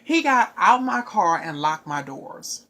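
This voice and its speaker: A woman with a somewhat low voice tells a long, winding personal story in relaxed, casual conversation. She rambles along in an animated way, often stopping for asides to her listeners. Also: takes it slowly.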